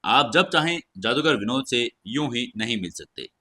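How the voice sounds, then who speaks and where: A man's voice: medium pitch, animated, moderate pace, bright, forceful tone. A man voicing a wary junior wizard gatekeeper in an audio story, formal, guarded and politely officious. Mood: dismissive.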